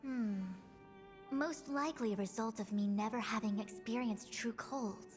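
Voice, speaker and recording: A woman's voice: on the high side, normal speed, darkish tone, in a large hall, soft background music, natural voice. A woman voicing a composed, erudite diviner in a video game, speaking formally and analytically. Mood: calm, matter-of-fact.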